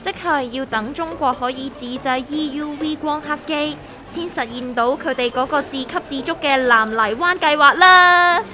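A woman with a Hong Kong Cantonese accent playing a naive, excitable novice stock investor in a comedic radio drama. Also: high-pitched, moderate pace, hardly any pauses, lightly acted. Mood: curious. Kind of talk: scripted comedic dialogue. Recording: music under the voice.

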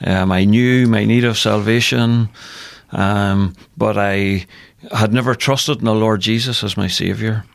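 A man's voice: on the low side, fairly even intonation, a little slow, vocal fry, no echo. A man with a Northern Irish accent giving personal testimony as a radio guest, speaking earnestly and repeating phrases for emphasis. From Northern Ireland. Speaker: interview guest, ministry worker. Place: radio studio.

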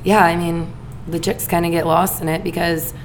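A raspy-voiced woman co-hosts a casual podcast in a low, flat tone. She chats loosely and leans on "like" and "um" between thoughts. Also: moderate pace, a little hiss or hum. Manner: chatty, filler-heavy with lots of "like". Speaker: podcast co-host.

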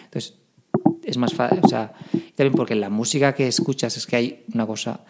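A man's voice: medium pitch, fairly even intonation, moderate pace, a little mellow, slight background noise. A man with a Spanish accent from Barcelona, a band member explaining himself emphatically in a casual interview. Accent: Spanish, from Spain.

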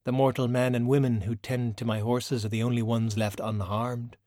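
A man's voice: mid-range voice, flat delivery, mellow, dry recording, clean studio sound, close to an everyday voice. Man voicing a poised, silky, refined woman. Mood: quietly bitter. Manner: measured, formal, refined. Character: poised, silky, beautiful woman, proud and wryly self-pitying. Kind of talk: audiobook character dialogue.

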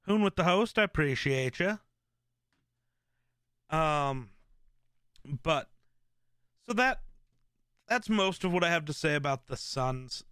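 A man hosting a live stream, chatting casually in a rambling way with lots of fillers and restarts, in a relaxed voice. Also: on the high side, takes it slowly, long pauses, no room sound. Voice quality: relaxed, smooth voice. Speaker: streamer host.

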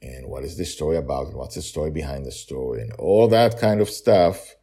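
A man's voice: extremely low pitch, animated, brisk, dark tone. A middle-aged man hosting his own story podcast, chatting casually and self-mockingly through the outro and credits. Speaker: podcast author and narrator.

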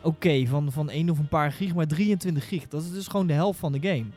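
A man's voice: fairly high pitch, fast talker, a little mellow, soft background music. A man chatting casually as a podcast panelist, hesitant and informal, often restarting his phrases. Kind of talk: casual chat.

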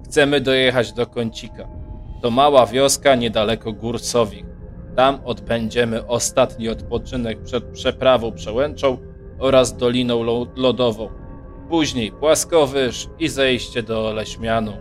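A man's voice: medium pitch, steady tone, measured pace, soft background music, close to an everyday voice. A man playing a haughty, shrewd margrave who commands a merchant caravan in a fantasy audio drama. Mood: shrewd, businesslike.

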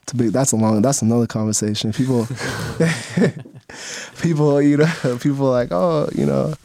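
A man's voice: medium pitch, normal speed, vocal fry, dark tone, no room sound. Laid-back young man, dry studio sound. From Dominican Republic. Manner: loose, laughing, lots of fillers. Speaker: guest videographer. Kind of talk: casual radio interview chat. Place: radio studio.

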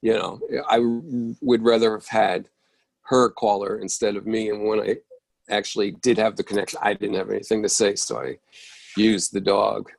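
A man's voice: fairly low pitch, level tone, normal speed, gravelly, no room sound. A man who acted in the reading, talking hesitantly and laughing easily on a video call. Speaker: actor in the reading. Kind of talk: casual group discussion.

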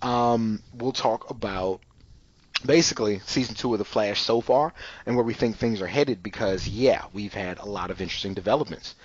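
A man's voice: fairly low pitch, moderate pace, breathy edge, no echo, lo-fi sound. A man hosting a podcast in loose, casual chat, filling his talk with "you know" and "like". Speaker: podcast host.

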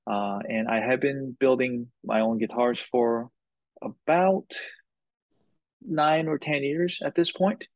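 A man from Korea, a guitar maker being interviewed, answering in an unhurried way with short phrases and pauses. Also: mid-range voice, no room sound, highs cut off.

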